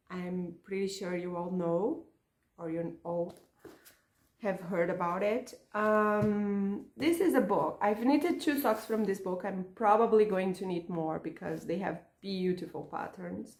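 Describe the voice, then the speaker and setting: A woman from Portugal, a native Portuguese speaker with a Portuguese accent, chatting about knitting in a casual craft vlog, halting and restarting words as she searches for English. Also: medium pitch, a little mellow, echoey room. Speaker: knitting podcaster and yarn shop owner.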